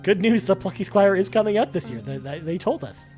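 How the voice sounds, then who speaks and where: A man's voice: high-pitched, expressive, fairly quick. Joking, upbeat man hosting over faint music. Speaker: stream host. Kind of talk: casual chat.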